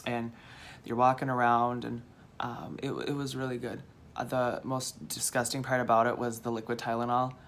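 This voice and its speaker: Chatty man with a high voice. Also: flat delivery, moderate pace, hardly any pauses, a bit bright, slight background noise. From Minnesota. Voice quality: slightly hoarse. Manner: chatty, rambling, self-deprecating. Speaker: vlogger. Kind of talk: casual storytelling vlog.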